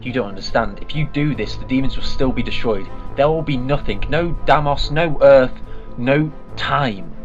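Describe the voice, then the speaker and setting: An actor playing an eccentric male alien time traveller who speaks in an earnest, urgent, excitable way in a fan audio drama. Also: medium pitch, moderate pace, faint music behind, close to an everyday voice. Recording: slightly dull lo-fi sound.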